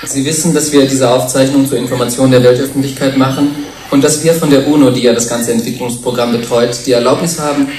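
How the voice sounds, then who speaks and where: An actor playing a courteous television interviewer in a radio play, formal and deliberate. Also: male voice, mid-range voice, fairly even intonation, darkish tone, lightly acted. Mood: formal, inquiring. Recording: echoey, distant, faintly noisy taped broadcast.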